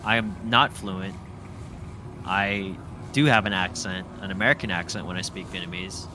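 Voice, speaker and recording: A young man with a low voice gives short, reluctant answers in a casual chat outdoors. Busy street and car noise comes through loudly. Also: fairly even intonation, moderate pace. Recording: noisy outdoor street recording.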